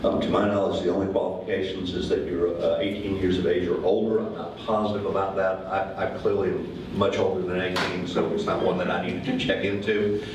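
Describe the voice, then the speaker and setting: A middle-aged man with a very deep voice explains court procedure in a measured, steady way. He sounds far from the microphone in a heavily echoing hall, with clear background noise. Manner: measured, explanatory, with occasional fillers. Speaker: county court clerk. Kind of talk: answering questions at a public forum. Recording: very echoey hall, voice from far off, noisy.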